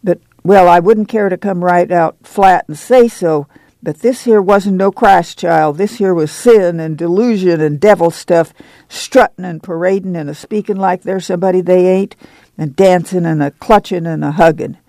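A fierce, pious woman from a storybook, acted out by a man. The voice is high for a male reader and gravelly, yet mellow. It bears down on words like a backwoods preacher spreading scandal and warning of sin. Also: moderate pace, dry recording. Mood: righteous outrage. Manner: emphatic, foreboding preaching. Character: stocky fierce, fanatically pious country woman, scandal-mongering.

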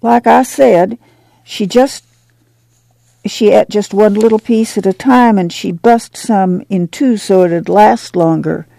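A woman voicing a young farm boy who tells a story in a breathless, rushed run-on way. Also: mid-range voice, gravelly, dark tone, no room sound, voice pushed up. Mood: breathless, eager. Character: breathless little farm boy, excited tattler.

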